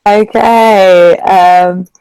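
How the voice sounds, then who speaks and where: Slow-paced British woman tutoring. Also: mid-range voice, steady tone, dark tone, clean tone, no echo, low-quality recording. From England. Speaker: online English tutor. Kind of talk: casual conversational lesson. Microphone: video call.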